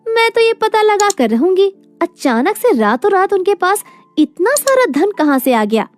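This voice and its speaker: A woman's voice: very high voice, big pitch swings, a little slow, faint music behind, voice pushed up, heavily acted. A woman voicing a haughty, scornful rich sister in a story, with a sneering, boastful manner. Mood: indignant. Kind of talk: animated moral story dialogue.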